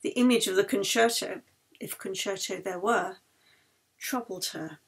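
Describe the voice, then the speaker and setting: A woman narrating a novel as its author, with dramatic, deliberate phrasing. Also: mid-range voice, gravelly, slightly rough. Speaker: author reading their own novel. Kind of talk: expressive audiobook narration. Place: recorded at home.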